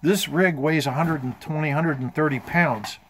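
An older man in his seventies with a slightly high voice for a man. He talks informally and plainly, walking through technical details of equipment like a hobbyist showing his work. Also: normal speed, a bit bright, slight background noise. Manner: matter-of-fact, technical explaining. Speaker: amateur radio hobbyist. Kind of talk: informal show-and-tell of homemade equipment. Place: indoor home workshop.

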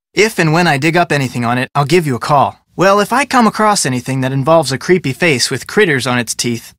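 Breezy young American detective, higher-pitched. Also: male voice, a bit bright, dry recording, clean studio sound. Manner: casual, folksy, chatty. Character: friendly, breezy young male amateur detective. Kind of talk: video game dialogue.

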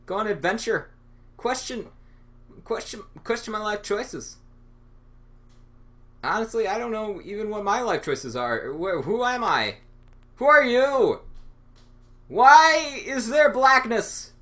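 A man's voice: high voice, lively intonation, slow pace, pauses often, pushed and bright. A young man hosting his own channel, rambling through an improvised, absurd and sarcastic monologue with lots of stammering. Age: young adult. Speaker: channel host.

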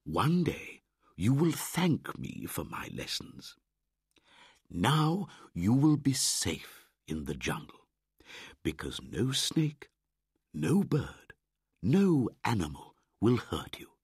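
Patient old storybook bear, slow and lively in pitch; male narrator voicing it. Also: husky, dry recording, studio quality, exaggerated voice. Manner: slow, deliberate, warmly instructive.

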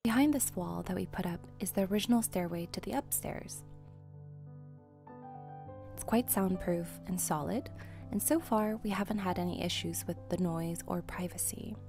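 A woman narrating a tour of her own home in a calm, scripted voice-over. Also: on the low side, normal speed, vocal fry, over music. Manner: calm, even, tidy tour-guide delivery. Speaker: homeowner presenting a house tour.

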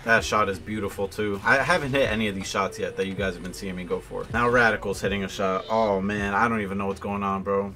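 A man's voice: lowish voice, fairly even intonation, fast, few pauses, sharp tone, soft background music. A young man giving casual gameplay commentary in a slangy, informal, conversational way. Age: young adult. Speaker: gaming video host. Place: indoor room at home, gaming setup.

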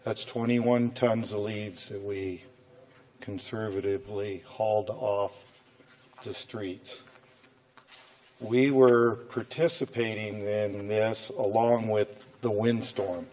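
A middle-aged American man with a cold that makes his voice come and go, giving an informal, plain-spoken, halting presentation as a city official at a council podium. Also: on the low side, fairly even intonation, darkish tone. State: voice coming and going from a cold. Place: indoor council chamber, standing at a podium.

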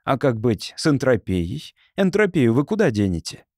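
A man's voice: mid-range voice, sing-song, moderate pace, darkish tone, dry recording, clean studio sound. A man reading an audiobook as a bewildered young time traveler who keeps protesting and questioning. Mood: indignant objection.

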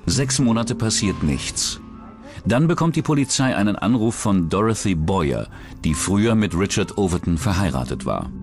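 Male narrator, scripted true-crime documentary, lowish voice, lively melody, soft music underneath. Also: moderate pace.

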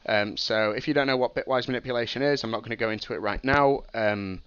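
A man's voice: mid-range voice, quick pace, a bit bright, smooth, no room sound. Young male streamer, sniffly from a cold, loose think-aloud teaching, dry and slightly muffled home mic. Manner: thinking aloud, many ums and restarts. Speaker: streamer teaching game programming. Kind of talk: casual live-stream explanation.

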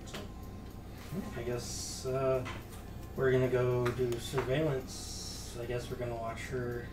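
A man playing a tabletop roleplaying game, hesitantly planning his character's moves out loud. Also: mid-range voice, little pitch movement, unhurried. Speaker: player. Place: home dining room at a table. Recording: roomy, distant, music behind.

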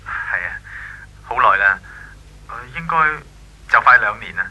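A man's voice: on the low side, lively intonation, a little slow, breathy edge, dull audio. A man playing a courteous, wistful young friend in a Cantonese radio drama, heard through a telephone filter, with a Hong Kong accent. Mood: wistful, resigned. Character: earnest, wistful young male family friend, courteous and reserved.

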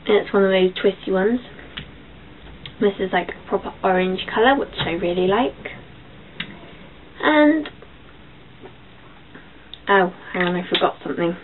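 A young woman with a croaky voice, chatting casually through a makeup tutorial in her bedroom, with filler ums as she goes. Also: mid-range voice, a little slow, long pauses. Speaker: beauty vlogger. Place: small bedroom. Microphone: built-in webcam mic. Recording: tinny lo-fi webcam audio, faint hiss.

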